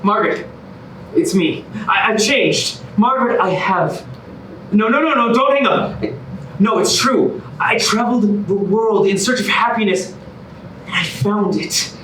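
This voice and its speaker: An echoey, distant stage recording of a male actor as an earnest, theatrical man in a musical comedy, pleading and eager. His voice sits high with a bright, forceful edge. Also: a little slow, slight background noise. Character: Oliver, eager, self-improved man desperate to win back his ex. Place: staged reading in a reverberant room. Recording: echoey room, distant microphone.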